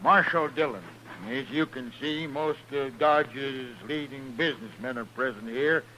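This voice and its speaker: An actor playing a self-important, indignant frontier hotel owner in a 1950s radio western, pompous and insistent. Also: male voice, medium pitch, measured pace, sharp tone. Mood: officious. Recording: noisy old radio recording.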